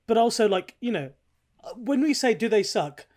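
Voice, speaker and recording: A man with a fairly high voice for a male speaker co-hosts a podcast. His delivery is loose, informal chat with some swearing. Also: lively intonation, normal speed, a little mellow. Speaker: podcast co-host.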